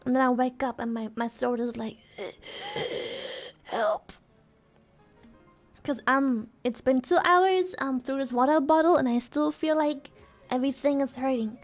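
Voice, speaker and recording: A woman's voice: high-pitched, a little slow, faint music behind. A woman streamer chatting casually with her viewers, giggly and playful, her throat sore and dry. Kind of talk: casual live-stream chat.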